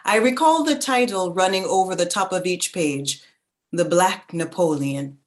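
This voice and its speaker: An actress playing a reflective woman narrator who recalls her childhood in a contemplative, deliberate literary reading. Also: fairly low pitch, close to an everyday voice.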